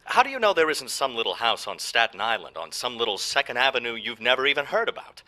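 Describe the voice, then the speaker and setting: A man playing a patient but dismissive police desk sergeant in a 1940s-style radio play, brisk, official and condescending. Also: medium pitch, very animated, sharp tone. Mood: skeptical, condescending.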